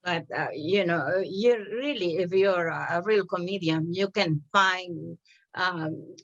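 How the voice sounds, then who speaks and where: A woman hosting a community TV interview, asking questions hesitantly with many pauses and fillers. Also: fairly low pitch, fairly bright tone. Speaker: TV show host. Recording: dull lo-fi TV audio.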